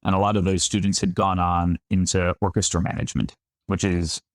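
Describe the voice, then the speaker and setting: A man in his thirties from upstate New York talks as a podcast guest in a very low voice. He is chatty and self-deprecating, often restarting his sentences. The sound is dry and close, with no room echo. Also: flat delivery, brisk. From Finger Lakes region of New York. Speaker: winemaker guest. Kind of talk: conversational podcast interview.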